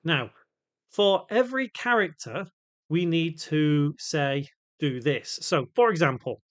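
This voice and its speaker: A man with a voice pitched a little higher than average presents a software tutorial. He talks in an explanatory way, walking through the steps one at a time and setting out technical details piece by piece. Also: lively intonation, slow. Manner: explanatory, spells out code piece by piece. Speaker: tutorial host. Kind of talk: step-by-step software tutorial narration.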